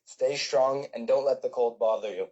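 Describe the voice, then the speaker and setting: A man's voice: medium pitch, moderate pace, background noise. A man from Canada calling into a live chat, speaking earnestly and from the heart. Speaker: caller. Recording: lo-fi online voice chat audio.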